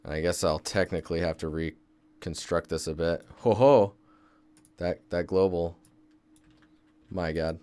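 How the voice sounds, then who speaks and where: A young man talks through his work on a casual live stream, easygoing and chatty as he answers viewers. His voice sits low and smooth. He is recorded at his desk on a close microphone. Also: fairly even intonation, a little slow, long pauses, a little mellow, no echo. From Seattle, Washington State, US. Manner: laid-back, thinks aloud while working. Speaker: game environment artist streaming.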